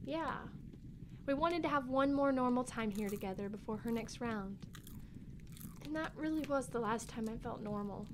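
A woman playing a sensitive, excitable young girl, a grieving little sister, in a family audio drama, with a childlike, openly emotional manner. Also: fairly high pitch, moderate pace, background noise, lightly acted. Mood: sad, confiding.

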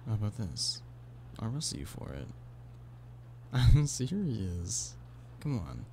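Man acting as a low, creaky-voiced coaxing boyfriend. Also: takes it slowly, exaggerated voice. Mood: playful challenge. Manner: teasing, pleading, intimate one-sided conversation. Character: playful, affectionate human boyfriend coaxing a stubborn cat-person. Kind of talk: ASMR boyfriend roleplay. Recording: steady background noise of rain. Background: rain.

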